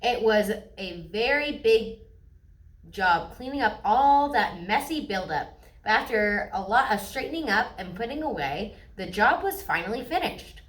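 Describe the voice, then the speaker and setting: A woman's voice: mid-range voice, animated, unhurried, recorded from a distance. A woman storyteller reading a children's picture book aloud expressively. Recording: echoey room.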